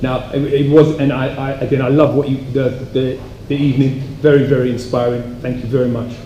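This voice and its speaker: Guy asking from the floor, preachy and deliberate, soft mellow tone, roomy sound. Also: medium pitch, flat delivery, lo-fi sound. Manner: preacherly, deliberate, repeats phrases. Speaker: audience questioner. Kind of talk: rhetorical question from the floor. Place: public event hall. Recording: echoey hall, faint background noise.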